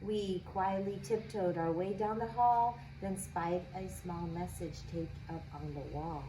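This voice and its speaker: A woman reading a children's picture book aloud expressively, with deliberate, dramatic phrasing. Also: on the low side. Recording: echoey room, faint background noise.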